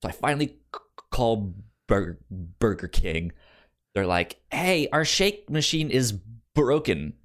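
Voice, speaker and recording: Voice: medium pitch, very animated, slow. Stuttering podcast co-host telling a casual anecdote. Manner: stutters, repeating sounds and words; informal storytelling with quoted dialogue.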